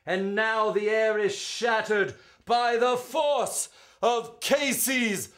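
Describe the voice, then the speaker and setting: A man performing as a poetry reader, with a theatrical, emphatic storytelling delivery and drawn-out words. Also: sits high, steady tone, a bit bright. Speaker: performer reading poetry aloud. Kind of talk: dramatic poetry recitation with spoken introduction.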